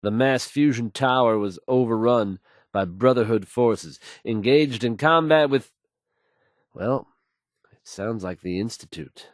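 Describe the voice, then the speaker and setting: An actor playing a confident radio DJ who reads post-apocalyptic news bulletins in an assured, measured, folksy announcer manner. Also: male voice, medium pitch, no echo, studio quality, close to an everyday voice. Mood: measured, serious. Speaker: radio DJ and newsreader.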